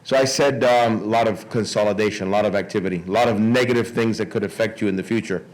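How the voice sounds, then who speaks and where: A man in his sixties speaks to a conference in an informal way. His voice sits a little low. He moves haltingly through his remarks, doubling back on words and restarting phrases. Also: steady tone, poor audio. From Miami, United States. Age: 60s. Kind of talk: informal public speech.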